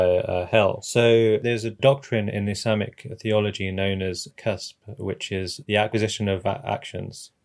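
A man giving measured, reflective answers as an interviewed academic expert, in formal scholarly wording. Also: lowish voice, vocal fry. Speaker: interviewed expert on religion and technology.

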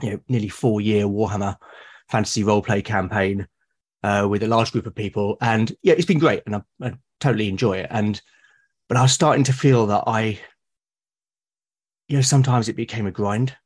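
A British man as a podcast guest, talking in a thoughtful, measured way with small restarts. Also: fairly low pitch, breathy edge. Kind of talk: casual podcast chat.

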